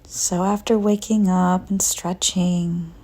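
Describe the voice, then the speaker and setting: Female meditation narrator, slightly low and mellow, breathy near-whisper delivery, gentle, unhurried scripted read. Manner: calm, gentle, unhurried with long pauses. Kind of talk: slow guided visualization, soothing scripted narration.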